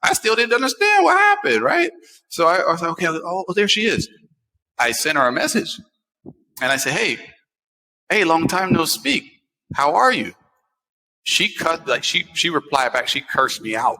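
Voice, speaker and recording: A bright, forceful American man in his forties talks in a long, reverberant room. He hosts a casual talk show and tells stories in an animated, repetitive way, leaning on phrases for emphasis. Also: a little high. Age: 40s. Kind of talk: casual chat, personal storytelling.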